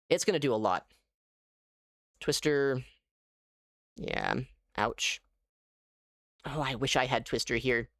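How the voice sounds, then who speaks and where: Animated man commentating on his game. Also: mid-range voice, no room sound, clean studio sound. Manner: animated, thinking aloud. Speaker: gaming streamer. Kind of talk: casual live gameplay commentary.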